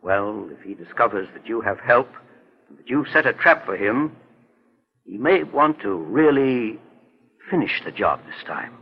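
Calm, authoritative investigator, slightly rough voice, deliberate delivery, dulled vintage radio sound. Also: male voice, on the low side, heavily acted. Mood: grave, deliberate. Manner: measured, earnest, explanatory. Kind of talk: 1940s radio detective drama acting. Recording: muffled vintage 1940s radio recording.

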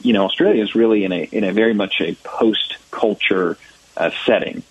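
Man, American, 30s, interview guest, thoughtful, fillers, dry close sound, low pitch. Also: fairly quick, husky, no echo, low-quality recording. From United States. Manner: thoughtful, many fillers and restarts. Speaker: church ministry director, guest. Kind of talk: relaxed interview answers.

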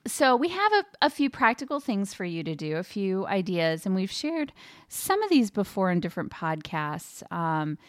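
A woman's voice: medium pitch, very animated, moderate pace, dry recording, clean studio sound. A woman chatting casually as a co-host on a podcast. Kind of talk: casual conversational podcast talk.

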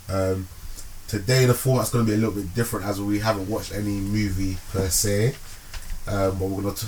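Relaxed male podcast host, lowish voice, faint noise behind. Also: low-quality recording. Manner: relaxed, laughs often, filler-heavy. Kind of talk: casual podcast chat.

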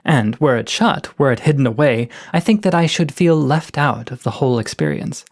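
A man voicing a playful, flirtatious poet coyote of low station, reading florid love letters in a lyrical, teasing way. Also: expressive, moderate pace. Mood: lyrical longing.

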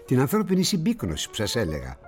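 Older male publisher for radio drama: cynical, patronizing, very animated pitch, music behind. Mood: lecturing. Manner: theatrical, patronizing, with musing pauses. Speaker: actor.

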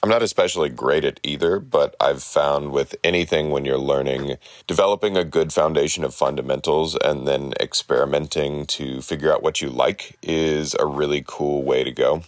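An extremely deep-voiced man reads out a listener's message in an earnest, even way. The sound is dry and close, with no room around it. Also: moderate pace, hardly any pauses, dry recording. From Southern California. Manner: earnest, steady, appreciative. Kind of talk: read message.

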